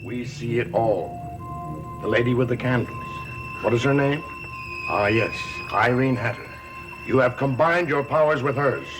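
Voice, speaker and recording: An actor playing a menacing, imperious emissary of a dark master, speaking with theatrical, accusing deliberation in a gothic TV soap. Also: male voice, medium pitch, measured pace, close to an everyday voice, rough recording. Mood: menacing, stern. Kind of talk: gothic TV soap opera acting. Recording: old TV soundtrack with loud music behind.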